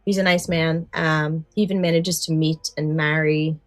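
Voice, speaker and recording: A woman's voice: deep voice, level tone, normal speed, no echo, clean studio sound, faint music behind. A woman co-hosting a podcast in casual chat, recounting favourite stories and often correcting herself. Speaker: podcast co-host.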